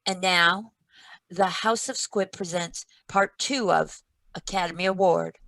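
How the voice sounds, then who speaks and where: A woman's voice: fairly low pitch, creaky, a bit bright, no room sound, rough recording. A woman playing a formal 1940s radio announcer reading sponsor copy over a video call. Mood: polished, promotional. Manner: measured, deliberate, formal. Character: polished 1940s radio announcer pitching toothpaste. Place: remote read-through over a video call.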